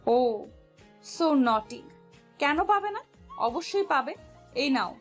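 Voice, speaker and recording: A high, girlish voice with music underneath: a playful young woman, acted by a storyteller in a romantic audio drama. She teases her friends and speaks in bright exclamations. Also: expressive, slow pace. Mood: teasing. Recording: music bed behind voice.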